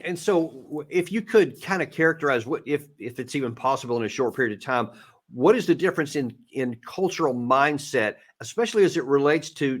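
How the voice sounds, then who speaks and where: A man interviewing a guest in a casual, somewhat halting way, often repeating words as he forms questions. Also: medium pitch. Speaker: show host interviewer.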